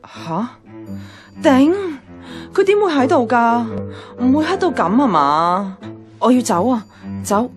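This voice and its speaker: A woman's voice: fairly high pitch, animated, slow pace, long pauses, over music. An actress playing a single young office woman narrating her woes in a radio drama, wry and confiding, with a Hong Kong Cantonese accent. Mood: panicked. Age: young adult.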